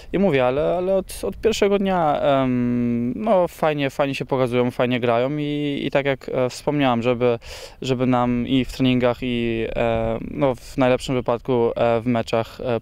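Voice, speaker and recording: Young man, halting and smooth-voiced. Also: mid-range voice, a little mellow, no room sound. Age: young adult. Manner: halting, many fillers and repeated words. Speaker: football player. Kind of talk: post-training interview. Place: outdoors on a training pitch.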